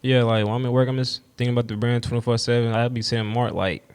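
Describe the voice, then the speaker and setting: A man in his twenties, a guest on a podcast, telling his story in a relaxed, slangy way. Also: mid-range voice, fast, clear, resonant tone. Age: 20s. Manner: laid-back, slangy storytelling. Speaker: guest, clothing brand owner. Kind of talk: casual interview chat.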